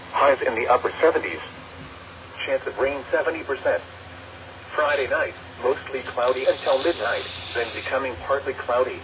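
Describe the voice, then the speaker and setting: A synthetic male text-to-speech voice reading scripted weather announcements over the radio in a formal, measured, segmented way. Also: medium pitch. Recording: noisy weather radio picked up by microphone.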